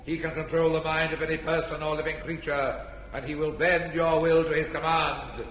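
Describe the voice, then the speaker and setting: A reverberant old radio recording with faint hiss. A male actor plays a showy stage announcer, declaiming grandly in a bright voice. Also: on the high side, little pitch movement, moderate pace, lightly acted. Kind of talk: old-time radio comedy acting, showman patter.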